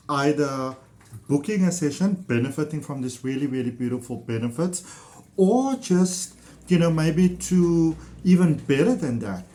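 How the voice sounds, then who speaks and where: A man's voice: a little high, dark tone. A man with a South African accent sharing his healing-coaching experience in a casual, enthusiastic, meandering way, full of fillers. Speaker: ThetaHealing practitioner. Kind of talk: casual personal testimonial to camera.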